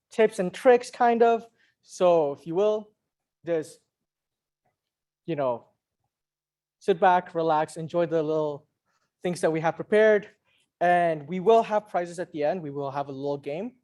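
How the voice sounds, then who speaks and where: Young male student officer, moderately high voice, easygoing event introduction over a video call. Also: a little mellow. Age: young adult.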